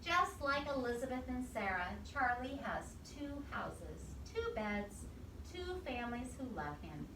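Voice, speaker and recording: A woman reading a storybook aloud to young children, expressive, pausing to ask simple questions and repeat answers. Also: on the high side. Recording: echoey, distant mic, clear background noise.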